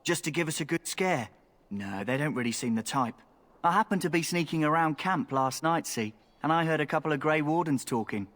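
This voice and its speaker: A man's voice: a little high, lively intonation, brisk, fairly bright tone. A man playing a cheeky, flirtatious rogue recruit in a fantasy video game, talking in a wry, colloquial way. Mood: roguish, wry. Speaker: game character. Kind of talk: scripted fantasy game acting.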